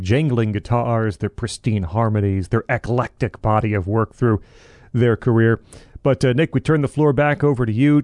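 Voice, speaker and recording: A man's voice: medium pitch, a little mellow, no echo. A man hosting a podcast, speaking in an articulate, orderly, conversational way into a close mic. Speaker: podcast host.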